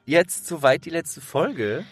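A man's voice: fairly high pitch, animated, slow pace, husky, dry recording. A man hosting a podcast in a casual, chatty way, feeling for his words as he goes. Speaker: podcast host and producer.

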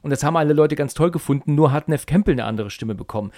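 A man's voice: a little high, racing, darkish tone, no echo, clean studio sound. A man interviewing in a casual, chatty way, rambling and often repeating phrases as he circles back. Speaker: podcast interviewer.

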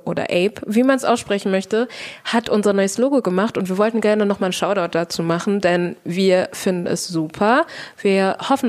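A woman's voice: mid-range voice, a little mellow. Chatty young woman hosting a podcast. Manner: chatty, many fillers, quick asides. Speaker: podcast co-host. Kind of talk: casual podcast chat.

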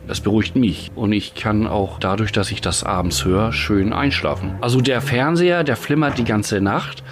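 A man in his early fifties gives casual interview answers. His voice is on the low side, and he talks in the everyday way of a fan describing a hobby. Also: normal speed. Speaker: interviewed radio play fan.

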